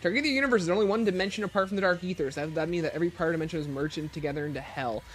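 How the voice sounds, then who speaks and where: A man's voice: on the high side, rapid-fire, faint music behind. A man hosting a livestream, reading out chat questions and answering them informally while thinking aloud. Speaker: livestream host.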